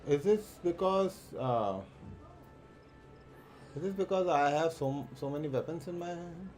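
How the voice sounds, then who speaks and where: Slow, hesitant man thinking aloud. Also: a little high, a little mellow, slight background noise. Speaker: live streamer. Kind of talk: casual gameplay commentary. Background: faint game music.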